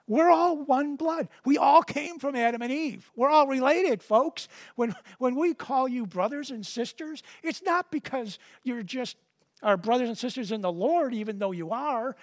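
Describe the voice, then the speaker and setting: High-pitched male preacher, conversational, stumbles into sentences, reads verses slowly. Dry recording. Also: dry recording. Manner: conversational, repeats words when starting sentences; reads scripture slowly and deliberately. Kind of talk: sermon with scripture reading.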